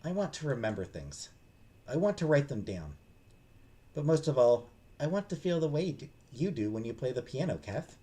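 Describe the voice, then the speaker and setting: A man voicing an earnest young woman who dreams of writing, speaking gently and sincerely in a visual novel. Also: medium pitch, very animated, moderate pace, lightly acted. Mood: wistful.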